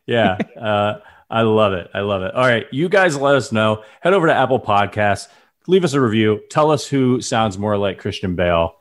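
Low-voiced male podcast co-host, playful and jokey, casual banter. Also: lively intonation, normal speed. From lives in Chicago. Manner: playful, laughs often. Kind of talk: casual chat.

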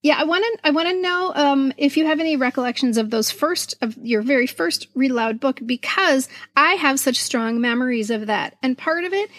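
Woman, middle-aged, American accent, higher voice, expressive conversational storytelling, dry close sound. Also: fairly quick, few pauses, dry recording. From United States. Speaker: podcast co-host and children's author. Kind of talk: casual conversational storytelling about childhood memories.

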